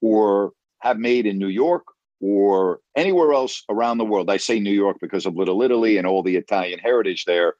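A man's voice: on the low side, fairly quick, darkish tone, dry recording, highs cut off. A man chatting casually as a guest, emphatic and repeating himself for stress. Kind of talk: casual chat.

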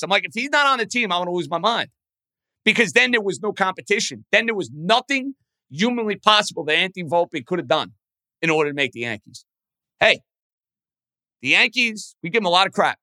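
High-pitched, very bright, booming male sports host. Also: no room sound. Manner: excitable, emphatic, punchy phrasing. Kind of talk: energetic sports commentary and interview.

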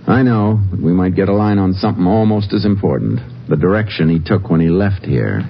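Texas Ranger: low, American, methodical, matter-of-fact; old-time radio western, dull lo-fi sound. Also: male voice, fairly quick, vocal fry. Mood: calm, factual. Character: steady, methodical male Texas Ranger investigator and narrator. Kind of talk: 1950s western radio drama acting and narration. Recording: muffled old radio broadcast, music behind.

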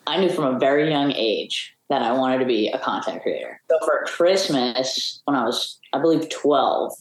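A woman's voice: extremely low pitch, moderate pace, roomy sound, a little hiss or hum, poor audio. A young woman, a guest in an interview, telling her story in an open, reflective way. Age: young adult. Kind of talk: casual interview chat.

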